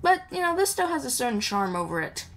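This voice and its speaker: A woman's voice: sits high, sing-song, fast, fairly bright tone. A woman giving casual, improvised gameplay commentary in a playful, chatty way. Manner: chatty, playful, mumbles asides to the game character. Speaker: gamer hosting a playthrough. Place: indoor voice-over recorded over game audio. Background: video game music.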